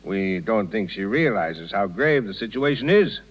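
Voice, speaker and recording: An actor playing a stuffy, coldly polite, disapproving town gentleman with formal, measured speech in a 1930s radio drama. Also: fairly low pitch, sing-song. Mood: stern, pompous. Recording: muffled 1930s radio broadcast.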